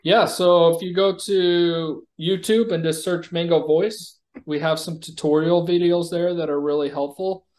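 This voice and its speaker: A man with a somewhat higher-pitched voice gives a product demo. He talks in a conversational, informal way, explaining features as a company executive would to a prospective customer. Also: steady tone, measured pace, few pauses, a little mellow.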